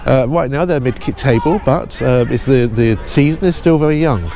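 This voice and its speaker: A man's voice: medium pitch, moderate pace. A man presenting outdoors at a football ground, talking informally with many ums and restarts. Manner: hesitant, full of restarts and ums, apologetic.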